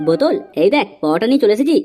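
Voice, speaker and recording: A woman's voice: on the high side, very animated, very fast, loud music behind the voice. A woman voicing a crude, hot-tempered young drinker who scolds friends in a comedy cartoon. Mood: brash.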